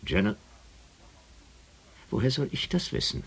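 An actor playing an impatient, questioning spaceship commander in a science fiction radio play. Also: male voice, measured pace, natural voice. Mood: exasperated.

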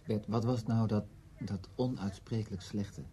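A man acting in a radio play as a brooding, probing former student who tells his story in a measured, reflective way. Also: lowish voice, steady tone, mellow, slight background noise, close to an everyday voice. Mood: probing, sceptical. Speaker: narrator and lead character in a radio play. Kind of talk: scripted radio drama, literary first-person narration.

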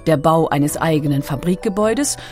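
A woman reading a scripted documentary narration with measured, dramatically phrased storytelling. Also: low voice, animated, darkish tone, faint music behind. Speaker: audiobook narrator.

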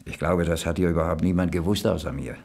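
An actor playing a homely, reflective boiler-room worker who talks colloquially in a German radio crime drama. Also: male voice, deep voice, fairly even intonation, fast, gravelly, a little mellow. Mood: admiring, chatty. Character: plain-spoken boiler-room stoker, wistful about his workmate.

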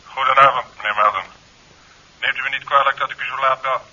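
An actor playing a commanding police commissioner over the telephone in a 1970s radio drama. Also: male voice, mid-range voice, steady tone, quick pace, bright, forceful tone, husky, dull audio. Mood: brisk, commanding. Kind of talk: 1970s Dutch radio play acting. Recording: thin telephone sound on old radio tape.

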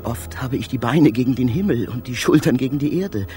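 An actor playing an awestruck traveller in space, a dreamy and proud poet-philosopher, declaiming in a literary German radio drama. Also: male voice, mid-range voice, fairly quick, darkish tone, soft background music, close to an everyday voice. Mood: philosophical wonder. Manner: declamatory, rapturous, theatrical.

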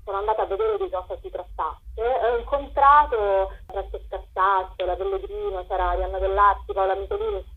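A woman's voice: mid-range voice, steady tone, a little fast, few pauses, breathy edge. A woman being interviewed as a guest on the radio, speaking spontaneously and often searching for words over a phone line. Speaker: guest performer and writer. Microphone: telephone.

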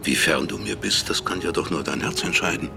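Very deep, gravelly, solemn poet. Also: male voice, over music. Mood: solemn, dreamy. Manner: solemn, lyrical, measured. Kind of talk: German radio play acting.

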